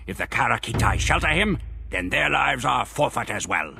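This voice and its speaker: A man voicing a grim Mongol warrior who narrates the campaign in measured, solemn storytelling for game cutscenes. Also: medium pitch, animated, creaky. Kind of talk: scripted dramatic narration. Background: music.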